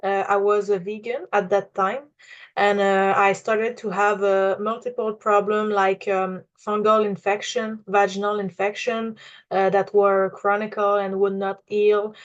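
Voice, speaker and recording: A woman in her twenties from Quebec with a Quebec French accent, hesitantly telling her own story with many pauses and fillers, English not her first language. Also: medium pitch, flat delivery, dull audio. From Quebec, Canada. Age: 20s. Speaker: client giving a testimonial. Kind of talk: unscripted personal testimonial.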